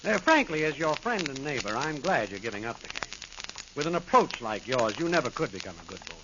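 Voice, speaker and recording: Needling neighbor male actor, lively intonation, mock-sympathetic, classic radio comedy, muffled noisy vintage recording. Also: mid-range voice, quick pace, lightly acted. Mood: teasing. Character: teasing, blunt male neighbor and bowling pal. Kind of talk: old-time radio situation comedy acting.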